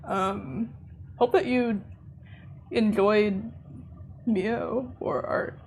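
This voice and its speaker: Slow, gravelly-voiced woman streamer, slightly hoarse. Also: medium pitch, steady tone, a little mellow, rough recording. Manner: rambling, chatty, trails off and laughs often. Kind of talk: casual live-stream chat while gaming. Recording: game music playing behind.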